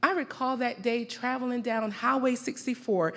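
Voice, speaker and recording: A woman's voice: fairly high pitch, steady tone, a bit bright. Woman orating in a reverberant hall. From American South (the Carolinas). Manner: emphatic, deliberate, repeating phrases for effect. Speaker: keynote speaker at a conference. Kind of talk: rhythmic spoken-word poetic public speech. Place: large conference hall. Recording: reverberant large hall through PA.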